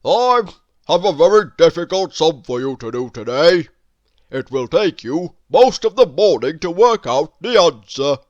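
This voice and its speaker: A woman voicing a stern male schoolteacher from a children's storybook with a loud, formal classroom address, in a dull recording. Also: deep voice, animated, unhurried, no echo. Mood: authoritative.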